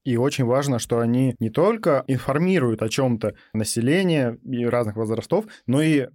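A young man co-hosting a podcast, explaining his view analytically in measured, somewhat formal wording. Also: mid-range voice, expressive, darkish tone, no room sound. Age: young adult. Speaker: podcast co-host.

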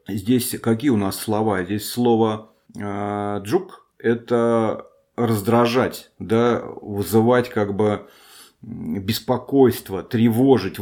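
A man's voice: lowish voice, darkish tone. A man hosting a podcast who gives an informal spoken lecture on a Buddhist text, thinking aloud with frequent restarts and a self-deprecating touch.

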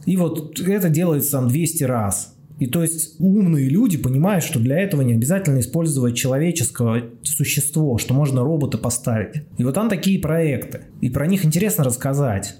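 A man's voice: fairly high pitch, animated, fairly quick, mellow. A man hosting a casual, improvised podcast in a rambling, blunt way, repeating words for emphasis. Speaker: podcast host, doctor.

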